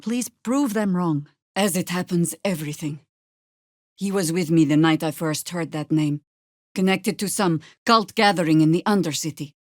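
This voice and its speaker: A woman playing a seasoned older druid warrior in a video game, wry and commanding, with dry, formal, archaic phrasing and a measured, weathered delivery. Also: on the low side, no echo, close to an everyday voice. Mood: wry, resolute. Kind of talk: video game character acting.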